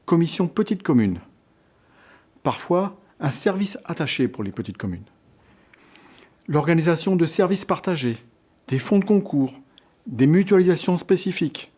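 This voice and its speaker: A man reading a scripted instructional presentation in a measured, structured way, setting out points in short phrases. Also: mid-range voice, mellow. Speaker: lecturer presenting on local governance.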